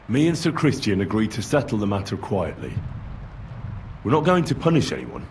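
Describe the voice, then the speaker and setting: An actor playing a young medieval man calmly and firmly questioning suspects in a video game. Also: on the low side, normal speed, a little hiss or hum, rough recording. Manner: calm, firm interrogating.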